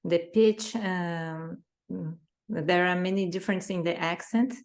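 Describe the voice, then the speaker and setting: Brazilian lady talking slow and careful in english, lowish voice, portuguese is her first language. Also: steady tone. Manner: careful, searching for words in a second language. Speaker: interview guest. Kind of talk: conversational interview answers.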